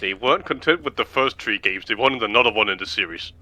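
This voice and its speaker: A man's voice: lowish voice, lively intonation, quick pace, pushed and bright. A man co-hosting a gaming stream, joking and teasing the others. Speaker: co-host. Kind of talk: casual gaming stream chat.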